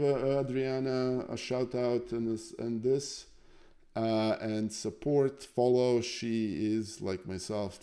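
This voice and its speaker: A man from Serbia chatting casually to livestream viewers as host, speaking haltingly and thinking aloud. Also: lowish voice, gravelly, warm tone. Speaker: chess streamer, host.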